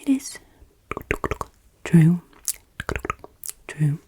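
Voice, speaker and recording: An English woman from Yorkshire whispering softly in an ASMR Q&A, teasingly repeating her questions. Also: sits low, animated, very slow, breathy edge. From England, Yorkshire. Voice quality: soft unvoiced whisper. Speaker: ASMR creator.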